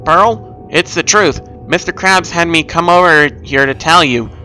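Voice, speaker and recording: A man voicing an earnest, excitable young sea sponge from a cartoon story. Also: on the high side, measured pace, faint music behind, pitched above the performer's own voice, poor audio. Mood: hesitant.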